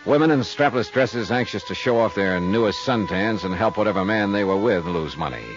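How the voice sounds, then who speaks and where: Hard-boiled radio private eye, male actor: low, world-weary, wry, music under a dull vintage recording. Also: a little fast, natural voice. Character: dry, hard-boiled male private investigator narrating his case. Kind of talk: 1950s radio detective drama acting. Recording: muffled old 1950s radio recording, music behind.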